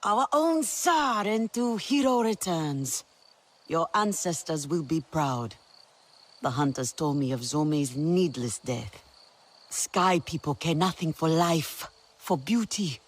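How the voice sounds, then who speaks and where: Resolute alien leader, game cutscene, lowish and lively voice. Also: female voice, slow pace, exaggerated voice. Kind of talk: video game cutscene acting.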